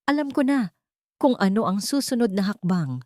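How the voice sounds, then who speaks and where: A woman's voice: mid-range voice, sing-song, normal speed, darkish tone, no echo, clean studio sound, pitched above the performer's own voice, lightly acted. Woman as resolute, vengeful female army colonel.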